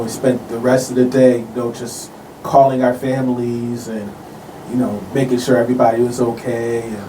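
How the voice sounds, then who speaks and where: A man's voice: medium pitch, recorded from a distance. Casual man in a roomy space. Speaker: musician guest. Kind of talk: casual interview chat. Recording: roomy, off-mic, some background noise.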